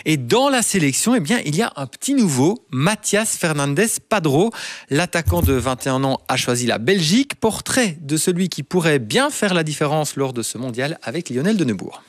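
A man hosting a talk show, introducing segments and questioning a guest in a measured way. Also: fairly high pitch, sing-song, no echo. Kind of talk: interviewing a studio guest.

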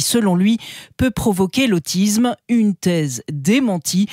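A woman correspondent delivering a news report in a clear, steady way. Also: mid-range voice, dry recording, clean studio sound.

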